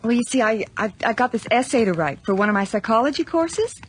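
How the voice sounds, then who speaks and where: Casting: chatty, nervous young female student for horror radio play, played by an actress; high voice, very lively intonation, hesitant. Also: quick pace, lightly acted. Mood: friendly, chatty. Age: young adult. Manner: hesitant, rambling, stammering. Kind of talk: 1980 horror radio drama acting. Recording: noisy radio drama recording with clicks.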